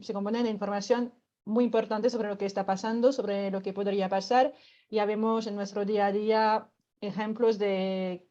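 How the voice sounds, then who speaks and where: A woman's voice: mid-range voice, warm tone, dull audio. A woman from France speaking with a French accent, patiently explaining a climate workshop as a radio guest, with many filler words and light laughs.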